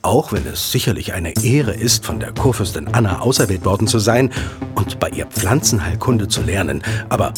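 A man narrating a scripted audio drama as a dramatic, expressive storyteller. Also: on the low side, normal speed. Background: background music.

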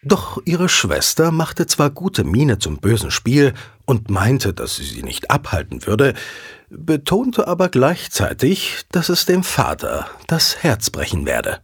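A man narrating a scripted audio drama as a dramatic, expressive storyteller. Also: mid-range voice, slow pace, slightly rough, no echo, studio quality. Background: background music.

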